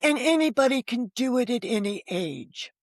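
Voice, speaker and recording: A woman's voice: on the high side, animated, moderate pace, no room sound, studio quality. Older American woman, candid storyteller. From Connecticut, United States. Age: older, about 70. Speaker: novelist, interview guest.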